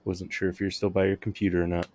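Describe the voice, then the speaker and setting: Low-voiced man live-streaming a game. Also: little pitch movement, fast talker, a little mellow. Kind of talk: casual live-stream chat.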